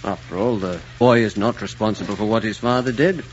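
An actor playing a kindly older family friend, reasoning and gently persuasive, in an old film drama. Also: male voice, fairly low pitch, a little fast, close to an everyday voice. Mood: reasoning, concerned. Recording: muffled, noisy old film soundtrack.

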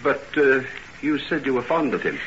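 An actor playing a polite, inquisitive middle-aged gentleman, formal and probing, in old radio drama style. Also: medium pitch, sing-song, normal speed. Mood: keenly curious. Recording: muffled noisy old radio recording.

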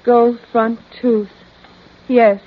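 Slow, husky entranced woman. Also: fairly high pitch, little pitch movement. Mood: entranced, haunted. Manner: halting, trance-like, short phrases. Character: entranced young woman clairvoyant, haunted, fateful. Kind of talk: melodramatic vintage radio acting. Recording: dull old radio recording.

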